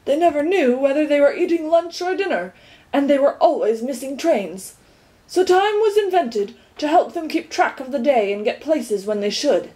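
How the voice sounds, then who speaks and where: A woman's voice: fairly high pitch, expressive, normal speed, dark tone, lightly acted. A woman voicing an earnest male watchdog who declaims grandly and gets carried away. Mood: proud. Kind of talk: audiobook character voice.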